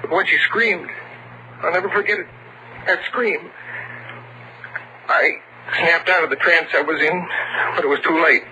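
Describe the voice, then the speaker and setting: A man's voice: on the high side, a little slow, long pauses, lightly acted. An actor playing a nervous, earnest young man, stammering and urgent, in 1940s radio drama style. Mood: frightened, urgent. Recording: noisy old radio recording, sharp sound.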